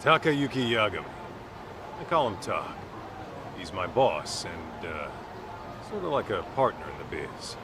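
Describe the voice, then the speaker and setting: A man's voice: on the low side, sing-song, unhurried, long pauses, noisy background. A laid-back tough-guy detective. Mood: easygoing. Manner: easygoing, casual, reassuring. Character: laid-back rough-edged male detective, big-brotherly. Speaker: detective's partner. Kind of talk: English game dub.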